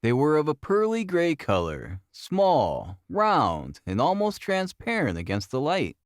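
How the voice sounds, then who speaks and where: A man's voice: fairly high pitch, wildly swooping pitch, measured pace, dry recording, studio quality. A man reading a classic detective story aloud as an audiobook narrator, in a scripted, dramatic storytelling style.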